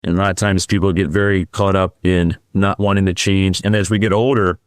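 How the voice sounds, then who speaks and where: Middle-aged male podcast guest, deep and clear, dry close sound, reflective and conversational. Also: level tone, fairly quick, dry recording. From United States. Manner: warm, reflective, with frequent fillers and restarts. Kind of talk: casual interview storytelling.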